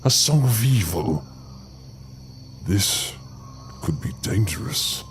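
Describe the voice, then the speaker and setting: A man plays an old groundskeeper in a horror audio drama, ominous yet polite. He speaks slowly and theatrically, with formal phrasing and wide swings of pitch. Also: expressive, slight background noise. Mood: foreboding. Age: older.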